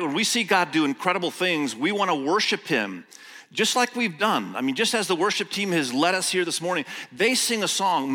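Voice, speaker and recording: A middle-aged man who grew up in Indonesia, with Indonesian as his first language, preaching a sermon as a guest pastor, telling stories and reading scripture slowly with emphasis. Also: on the high side, bright, forceful tone. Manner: storytelling, slow emphatic scripture reading, quick asides. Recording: long church hall reverb.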